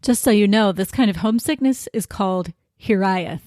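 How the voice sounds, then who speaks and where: An American woman hosting a podcast, giving a prepared literary talk in a reflective, deliberate way with emphatic phrasing. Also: medium pitch, a little mellow, no echo, clean studio sound. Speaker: podcast host.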